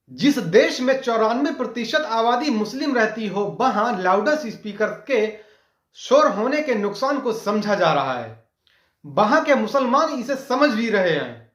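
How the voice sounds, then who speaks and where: A man's voice: high voice, roomy sound. A man presenting a scripted news explainer as a narrator, addressing viewers directly.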